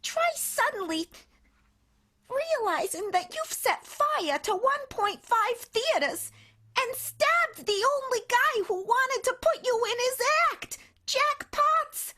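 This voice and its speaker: A woman's voice: very high voice, lively intonation, takes it slowly, bright, forceful tone, exaggerated voice. A woman voicing a cocky young child laborer in an improvised radio play, brash and boastful.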